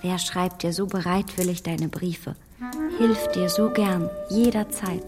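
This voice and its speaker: An actress playing a spirited, earnest young gentlewoman and devoted daughter in a radio play, coaxing in manner. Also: on the low side, a little slow, music in the background, lightly acted.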